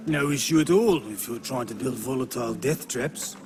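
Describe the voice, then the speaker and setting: A man's voice: mid-range voice, moderate pace, lightly acted. Man playing a blunt, grumpy dwarf. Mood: surly sarcasm. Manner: blunt, sardonic. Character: grumpy, defiant, boozy dwarf engineer. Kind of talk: video game cutscene acting. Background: clear background music.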